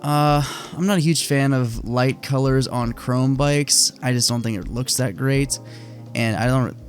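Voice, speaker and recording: A man getting over a cold, chatting casually and opinionatedly in a relaxed, rambling way full of fillers, hosting his own video. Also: medium pitch. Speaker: motorcycle vlogger. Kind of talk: casual screen-share commentary.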